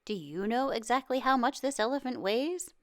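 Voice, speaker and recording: Woman playing an amused, stately prime minister, very expressive. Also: a little high, moderate pace, pitched above the performer's own voice, natural voice. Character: amused, commanding prime minister, proud father. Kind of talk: storybook read-aloud.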